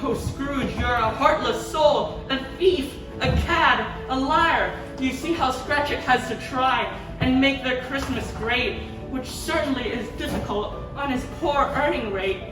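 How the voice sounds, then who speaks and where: A woman's voice: high voice, a little slow. A woman playing a bossy, reproachful ghost, declaiming rhymes in a stage pantomime. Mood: scolding. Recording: echoey stage, distant mic, music behind.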